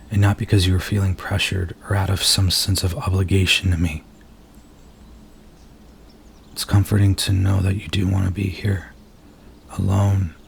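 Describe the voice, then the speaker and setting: A man playing a nervous, earnest werewolf in an intimate ASMR roleplay, speaking softly and haltingly as he confides in his date. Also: sits low, gravelly, slight background noise. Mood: gentle, reassuring. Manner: soft-spoken, hesitant, halting, earnest.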